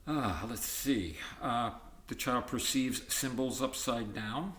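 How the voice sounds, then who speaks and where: Slow-paced man reading aloud, roomy sound. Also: medium pitch, fairly bright tone. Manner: halting list-like delivery with ad-libbed comments. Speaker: reader of an educational book. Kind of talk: reading a textbook aloud with informal asides.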